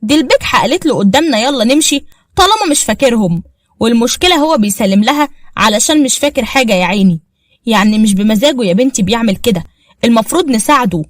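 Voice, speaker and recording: Casting: a woman as a bossy Egyptian mother-in-law, firm and brisk, in a dry storybook read. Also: fairly high pitch, expressive, dry recording, voice pushed up, lightly acted. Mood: scolding. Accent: Egyptian Arabic. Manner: scolding, firm.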